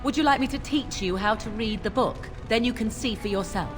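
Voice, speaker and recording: A woman's voice: fairly high pitch, a little fast, over music, lightly acted. An actress playing a haughty, mocking swamp witch in a video game, with archaic, teasing phrasing. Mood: irritated. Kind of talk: video game character acting.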